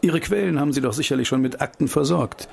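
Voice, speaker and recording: An actor playing a determined, disillusioned investigator in a political radio play, measured and dryly sardonic. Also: male voice, mid-range voice, gravelly. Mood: dry, knowing. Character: determined male investigator, disillusioned with corrupt state authorities.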